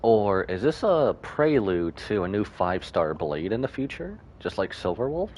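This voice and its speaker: A man's voice: fairly low pitch, very animated, moderate pace, a little mellow, slight background noise. A man giving casual live reaction commentary as a game streamer, slangy and speculating aloud.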